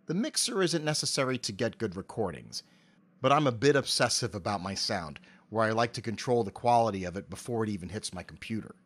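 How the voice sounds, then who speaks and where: A middle-aged man with an articulate, measured delivery, giving prepared interview answers into a close cardioid mic. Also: lowish voice, animated. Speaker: interview guest, amateur voice actor. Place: home office. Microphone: cardioid dynamic mic with pop filter, on a stand.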